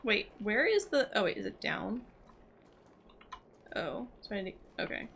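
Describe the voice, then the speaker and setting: Bright-voiced young American woman chatting on stream. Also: medium pitch, very animated, measured pace, creaky, highs cut off, slight background noise. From southeastern United States. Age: young adult. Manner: chatty, rambling, loosely jumping between thoughts. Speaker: game livestreamer. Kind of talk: casual stream chat and game commentary.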